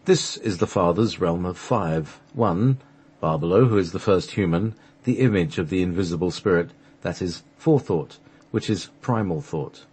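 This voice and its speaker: A man narrating a sacred text for a radio play, halting at times as he reads from the script. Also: low-pitched, expressive, darkish tone, breathy edge. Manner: halting, stumbles over the script and restarts.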